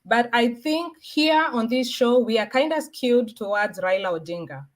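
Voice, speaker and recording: A woman's voice: a little high, expressive, normal speed. A woman with a Kenyan accent speaking as a panelist in a political debate, arguing earnestly and repeating her points.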